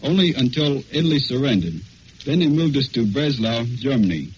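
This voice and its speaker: Young American male soldier, mid-pitched, reading personal testimony over a dull, slightly noisy 1940s radio broadcast. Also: very animated, moderate pace. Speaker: wounded soldier recounting combat.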